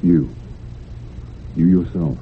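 Deep-voiced, probing doctor. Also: male voice. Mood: firm, advising. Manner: measured, probing, authoritative. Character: calm, probing male doctor advising a patient. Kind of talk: radio drama acting. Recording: tinny, noisy old radio recording.

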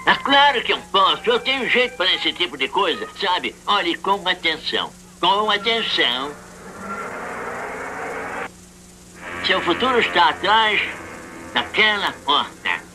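A man's voice: sits high, very animated, normal speed, over music, poor audio. A man voicing a bumbling, boastful cartoon duck who plays fortune teller, speaking theatrically. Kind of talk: dubbed cartoon acting.

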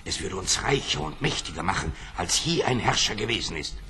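An actor playing a grand, greedy, commanding sultan in a fairy-tale radio play, with stately theatrical delivery. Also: male voice, deep voice, lively intonation, a little fast, sharp tone, full character voice. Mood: amazed, greedy. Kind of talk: radio play acting for children.

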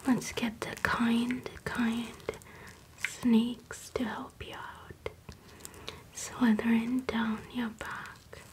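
A woman whispering an ASMR rhyme in a slow, rhythmic chant and gently coaxing the listener, close to the mic in a small room. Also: fairly high pitch, flat delivery. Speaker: ASMR creator.

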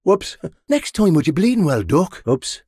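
Brash Irish sellsword for a medieval game: cocky, cheeky, swooping intonation, very dry recording. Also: male voice, high voice, sing-song, measured pace, mellow, slightly rough, dry recording, exaggerated voice. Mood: sheepish, brash. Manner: cheeky, colloquial, punchy delivery. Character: brash, mercenary male sellsword, cocky and mocking. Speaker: video game character voice. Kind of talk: video game battle voice lines.

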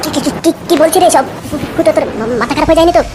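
Woman voicing a rapid-fire, blustering cartoon henchman. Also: high voice, very noisy, lightly acted. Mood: agitated, disbelieving. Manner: blustering, agitated, repeats phrases. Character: foul-mouthed excitable cartoon gangster henchmen and schemers. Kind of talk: comic cartoon dubbing.

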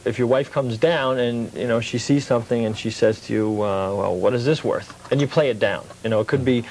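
A man's voice: mid-range voice, a little mellow, slight background noise, rough recording. A man speaking as an interview guest, telling things in a casual, conversational way. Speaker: interview guest, hobby collector.

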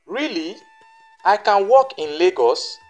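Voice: medium pitch, lively intonation, slow pace, faint music behind. A narrator voicing a naive, hopeful young village woman in a folktale, eager and grateful. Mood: amazed hope.

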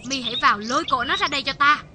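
A performer voicing a pompous, commanding, impatient tiger king in an animated fable. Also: sits high, very fast, background noise, natural voice. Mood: impatient, angry. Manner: lordly and commanding.

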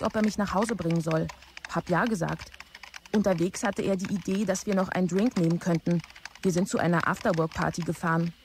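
A woman's voice: lowish voice, lightly acted. A woman playing a dutiful, reserved office assistant in a radio play, speaking in short matter-of-fact sentences. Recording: faint keyboard clicks and noise.

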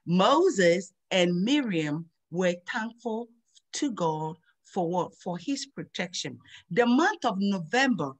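A middle-aged woman, hosting a children's Bible lesson over a video call and slowly drilling memory verses in a sing-song teacher voice. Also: lowish voice, lively intonation. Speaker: host of a children's Bible program.

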